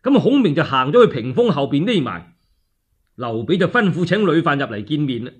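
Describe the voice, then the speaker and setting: Animated Cantonese male storyteller, slightly husky. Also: on the high side, a little fast. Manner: colloquial, theatrical narration. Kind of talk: dramatic solo storytelling for radio.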